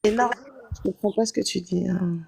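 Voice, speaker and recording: A woman's voice: mid-range voice, fairly even intonation, a little fast, gravelly, darkish tone, breathy edge, no room sound. A woman in an online debate, speaking in a sarcastic, dismissive way.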